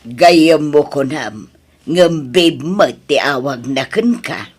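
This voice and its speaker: A woman's voice: low-pitched, animated, takes it slowly, breathy edge, heavily acted. A woman playing a nosy middle-aged housemaid in an audio drama, with an inquisitive and emphatic delivery. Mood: prying, suspicious. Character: Nana Mila, nosy older village woman working as housemaid.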